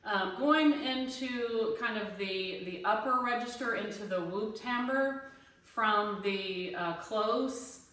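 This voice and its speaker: A woman in her fifties with a bright voice, talking through her thoughts in a loose, rambling way full of "um" and "you know". She sits away from the mic in a very echoey room, so her voice sounds hollow and reflected. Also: medium pitch, slow pace, far from the mic. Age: 50s. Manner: conversational, lots of fillers and restarts, thinking aloud. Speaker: online voice pedagogy student and singing teacher. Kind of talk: casual spoken class discussion post.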